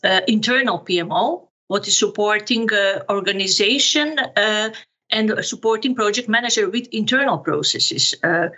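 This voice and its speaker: A middle-aged woman from Serbia with a Serbian accent, answering interview questions haltingly as she searches for words. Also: medium pitch, bright, forceful tone. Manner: halting, searching for words, non-native phrasing. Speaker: podcast guest, project management officer.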